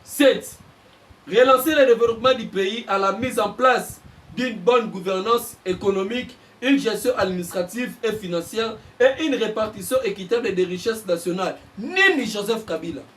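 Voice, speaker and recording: A Congolese man, a journalist and political analyst, giving impassioned commentary and getting louder as he presses his points. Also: high-pitched, moderate pace, recorded from a distance, a little hiss or hum. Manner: heated, mixes French and Lingala, bursts louder on points.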